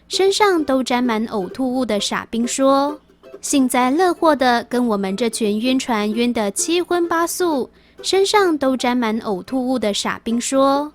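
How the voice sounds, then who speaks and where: High-pitched woman reading out quiz items. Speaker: reader of quiz questions. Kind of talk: scripted read-aloud, each item repeated.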